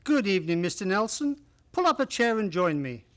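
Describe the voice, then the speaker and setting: Man acting as chatty old gentleman, high-pitched voice, courteous, old-fashioned radio drama storyteller. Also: big pitch swings, brisk. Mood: genial, reminiscing.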